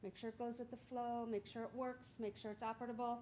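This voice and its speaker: A woman's voice: fairly high pitch, level tone, a little fast, mellow, slight background noise. A middle-aged woman interviewing as a school board candidate, speaking in a casual, chatty way with hesitant fillers. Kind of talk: public interview. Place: school board meeting room.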